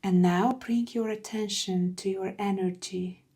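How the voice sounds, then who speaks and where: A woman guiding a meditation from a script, soothing and hushed, with phrases that trail into breathiness. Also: mid-range voice, fairly even intonation, a little slow. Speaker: meditation guide. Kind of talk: slow guided meditation, scripted.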